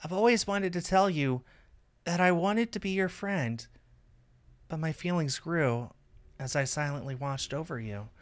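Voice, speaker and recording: A man voicing a shy, earnest schoolgirl reading out her love letter in a visual novel, gently. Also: fairly high pitch, unhurried, voice pushed up, lightly acted. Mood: shy, tender. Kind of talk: visual novel character read-aloud.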